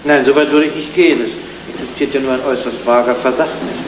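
A man playing a dry, sceptical senior detective who questions in a probing, measured way, in 1970s radio crime drama style. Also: mid-range voice, steady tone, natural voice. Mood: businesslike. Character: dry, sceptical male police detective in charge. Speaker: actor. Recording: noisy old 1970s radio play recording.

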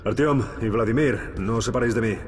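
A man's voice: medium pitch, fairly quick, lightly acted. An actor playing a stern middle-aged colonel who gives clipped, authoritative orders to his squad. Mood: commanding. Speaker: squad commander. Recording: echoey game audio from afar with music.

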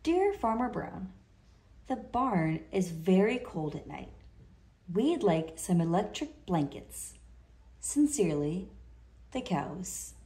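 Polite but stubborn farm cows from a children's storybook, voiced by a woman. The wording comes out slowly and formally, with a lilting rise and fall. The room sounds echoey. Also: fairly low pitch, sing-song, warm tone, full character voice. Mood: polite request. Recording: roomy indoor echo.

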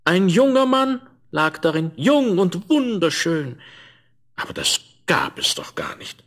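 A man narrating a scripted fairy tale for a 1970s audio play, with an expressive storyteller's delivery. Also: sits high, takes it slowly.

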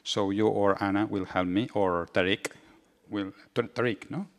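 A man's voice: on the low side, fairly even intonation, normal speed. Man lecturing in a hall. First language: Catalan. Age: middle-aged. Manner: chatty, informal, repeats words while searching for English. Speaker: guest lecturer. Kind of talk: seminar lecture. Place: large university lecture hall, indoors. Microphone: handheld microphone.

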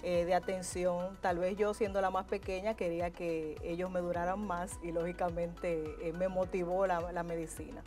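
A woman's voice: fairly low pitch, flat delivery, normal speed, few pauses, a little mellow, faint music behind. Dominican woman telling stories on a TV set. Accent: Dominican Spanish. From Dominican Republic. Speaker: guest urologist. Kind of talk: casual interview chat. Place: TV studio set. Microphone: lapel mic.

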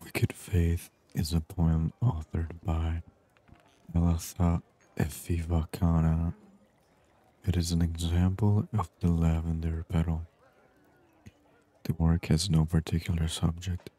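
Very deep, soft-spoken male ASMR gamer. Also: level tone. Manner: murmured, unhurried, reading on-screen text aloud. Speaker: gaming channel host.

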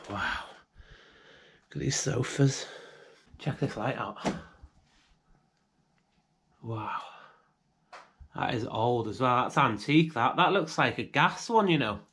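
A man's voice: mid-range voice, slow, long pauses, a bit bright, husky. A British man giving casual commentary to viewers as he explores indoors with a handheld camera. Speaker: urban exploration vlogger. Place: inside rooms of an abandoned house. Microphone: handheld action camera on gimbal.

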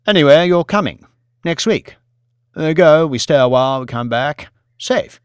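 A man's voice: on the high side, animated, normal speed, smooth, dry recording, studio quality, voice pushed up, close to an everyday voice. A man voicing a jovial, offhand man who stays cool and casual, as in an audiobook drama. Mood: jovial, coaxing. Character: Billy, jovial, breezy, beer-drinking man, cool and evasive.

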